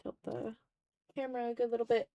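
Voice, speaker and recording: A woman's voice: fairly high pitch, flat delivery, fast, gravelly, highs cut off. Casual woman art streamer chatting away. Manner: informal, muttering to self while working. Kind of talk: casual livestream chat while drawing.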